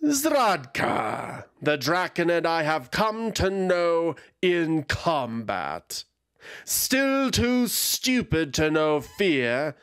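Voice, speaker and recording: A man voicing a cruel, gloating female dragon who taunts her foe in slow, menacing, purring words. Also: fairly high pitch, a bit bright, smooth, full character voice.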